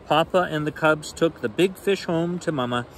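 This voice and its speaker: A man in his forties reading a children's picture book aloud expressively, in simple, deliberate phrasing. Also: fairly high pitch. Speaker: storyteller reading children's bedtime stories. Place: outdoors on a rainy forested shore. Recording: outdoor ambient noise.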